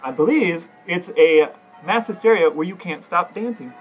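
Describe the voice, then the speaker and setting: A man with an American accent and a fairly high voice talks casually as a podcast co-host, putting questions in a conversational way. The audio is slightly dull and lo-fi, with some music behind him. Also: expressive, moderate pace. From Ohio, United States.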